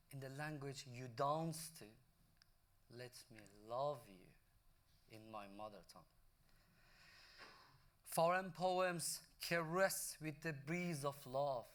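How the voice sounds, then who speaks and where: A man recites poetry slowly at a concert, his phrases lingering and dropping softly at the end of each line. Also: fairly high pitch. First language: Persian. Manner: measured, lingering phrases, soft drop at line ends. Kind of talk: slow spoken-word poetry recital over music. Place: concert hall. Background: instrumental music.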